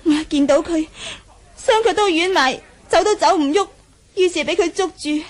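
A woman's voice: very high-pitched, moderate pace. A woman acting in a Cantonese radio drama from Hong Kong, voicing a timid, earnest, naive young nun. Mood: sorrowful recounting. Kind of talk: 1980s martial-arts radio drama acting.

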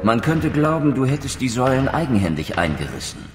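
A man's voice: medium pitch, big pitch swings, fairly quick, music in the background, natural voice. A man playing a brooding, proud undead wraith in a video game, speaking eloquently and theatrically with sardonic bitterness. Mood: sardonic, accusing. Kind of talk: dramatic game dialogue and scripted narration.

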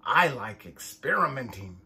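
A man's voice: mid-range voice, big pitch swings, measured pace, pushed and bright, exaggerated voice. A man voicing a carefree, mischievous young sheep in a children's storybook, breezy and gleeful.

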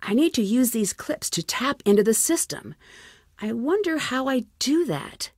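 A woman's voice: on the high side, very animated, a little slow, a little mellow, no room sound, full character voice. Inquisitive young female sleuth for an adventure game: earnest, plucky musing to herself, close dry sound. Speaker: lead character in a detective adventure game. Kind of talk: scripted game dialogue, thinking aloud.

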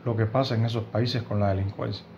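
A man in his fifties from Cuba with a Cuban Spanish accent, giving earnest, indignant news commentary to camera from a room at home, often pausing and restarting. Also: fairly low pitch, steady tone, vocal fry, a little mellow, highs cut off, a little hiss or hum. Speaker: host of a news commentary channel. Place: home room with bookshelves, indoors.

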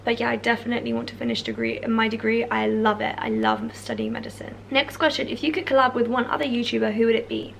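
Young English woman, husky with a cold, casual vlog Q&A, light room hiss. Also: fairly high pitch, very animated, fast, few pauses. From England. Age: 20s. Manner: chatty, many fillers like "like" and "um". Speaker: YouTuber answering viewer questions. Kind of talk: casual Q&A chat. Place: bedroom or living room, indoors. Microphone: camera in front, seated close.

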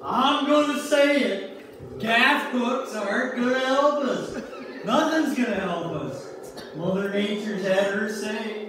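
A man's voice: extremely high pitch, slow, fairly bright tone, heavily acted. A man playing a resigned, plain-spoken ice fisherman in amateur reader's theater, recorded from far off in a church hall. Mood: grim, resigned. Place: church sanctuary stage with audience. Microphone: far camera in the pews. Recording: distant, very echoey noisy church hall recording.

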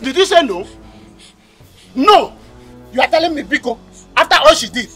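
An actor with a Nigerian accent playing an angry husband who threatens and scolds. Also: male voice, extremely high pitch, lively intonation, moderate pace, sharp tone, soft background music, full character voice. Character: angry husband defending his wife.

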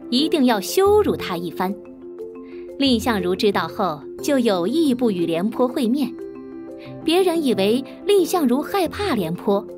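A woman telling a traditional tale to children, clear and explanatory, her voice moving up and down with lively expression. Music plays clearly behind her. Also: on the high side. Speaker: narrator. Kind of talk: educational storytelling for children.

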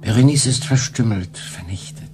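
An actor playing a melancholy human castaway in a sci-fi radio drama, halting and emotional in his delivery. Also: fairly low pitch, music in the background, close to an everyday voice. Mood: grieving, brooding. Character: melancholy, guilt-ridden human castaway man on island.

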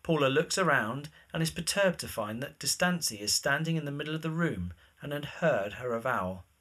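A man's voice: fairly high pitch, animated, a little fast, fairly bright tone, husky. A man narrating scripted stage directions for a drama.

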